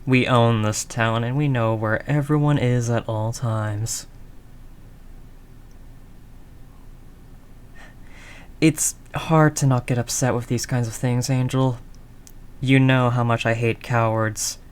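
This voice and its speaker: A man's voice: mid-range voice, steady tone, unhurried, clean tone, close to an everyday voice. A man playing a world-weary, doting mafia boss in an intimate roleplay, talking in teasing street slang with pet names. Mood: scornful, calm. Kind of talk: intimate scripted roleplay audio drama monologue.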